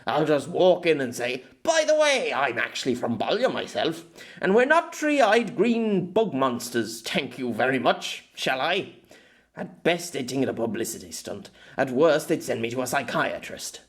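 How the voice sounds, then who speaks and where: A weary male writer with a secret, played by a male narrator in an amateur audiobook. He speaks at a middling pitch with animated swings, dry, hesitant and exasperated. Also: normal speed, lightly acted. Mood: sarcastic. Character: weary, sarcastic male writer in hiding from another world.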